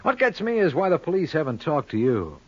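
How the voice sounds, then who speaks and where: An actor playing a shrewd, easygoing criminal lawyer with wry, bantering delivery in an old-time radio crime drama. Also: male voice, a little high, sing-song, fairly quick, breathy edge, no room sound, dull audio. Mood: probing.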